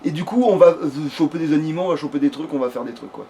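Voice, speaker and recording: A man's voice: on the high side, quick pace, dark tone. A man doing casual, rambling let's play commentary with a friend, swearing crudely as he goes. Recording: background noise.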